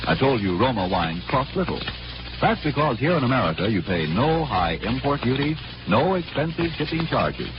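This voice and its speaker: Male 1940s commercial announcer, declamatory and animated, mid-pitched, on a dull vintage radio recording. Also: normal speed, noisy background. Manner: polished, declamatory salesmanship. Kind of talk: 1940s radio advertisement, scripted.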